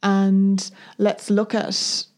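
Woman, mellow voice, narrow pitch range, thoughtful, earnest, reflective podcast conversation. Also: completely flat delivery, drawn-out words. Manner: thoughtful, earnest, searching for words. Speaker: podcast co-host.

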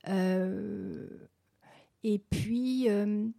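Halting, mellow-voiced Frenchwoman. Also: medium pitch, gravelly, no room sound, studio quality. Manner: halting, many restarts and fillers. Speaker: festival general delegate. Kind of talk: hesitant interview answers.

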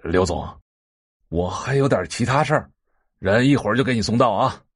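A man playing sleazy, self-important businessmen in an audio drama, with a husky, oily drawl. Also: mid-range voice, lively intonation, moderate pace. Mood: casual, scheming. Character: sleazy, self-important male businessmen striking a shady deal. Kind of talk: Chinese audio drama dialogue.

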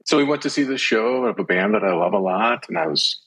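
A middle-aged man as an interview guest, telling personal reflections in a thoughtful, halting way. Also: mid-range voice, huge pitch swings, vocal fry, a bit bright, slightly rough, low-quality recording.

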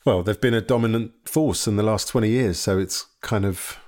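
A man's voice: on the low side, normal speed, a little mellow. A man co-hosting a casual football podcast, given to sighs and dry joking asides. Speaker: podcast co-host.

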